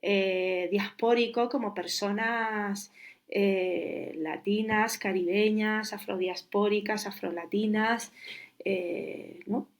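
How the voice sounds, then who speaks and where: A woman's voice: medium pitch, fairly even intonation, slow. Reflective Chilean woman thinking aloud. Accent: Chilean Spanish. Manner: thinks aloud with many restarts and fillers. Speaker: curator and guest. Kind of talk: reflective conversational interview.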